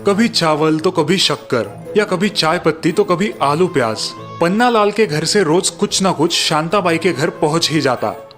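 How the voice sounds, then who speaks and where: A man's voice: on the high side, lively intonation, measured pace, a bit bright, faint music behind. A man reading scripted narration for a cartoon story.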